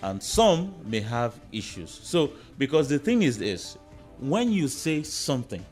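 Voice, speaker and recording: A Nigerian-accented man with a mid-pitched voice speaks as a panelist. He makes his points deliberately, doubling words for emphasis. Faint music sits behind him. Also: very animated, a little mellow. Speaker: radio panelist. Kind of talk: panel debate.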